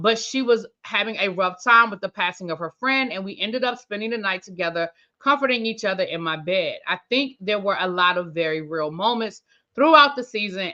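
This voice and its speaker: A woman's voice: medium pitch, bright, forceful tone, lo-fi sound. A woman hosting a casual reality-TV review livestream, reading an article aloud and breaking off for chatty asides. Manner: casual, chatty asides between read-out passages. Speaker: reality TV reviewer, channel host.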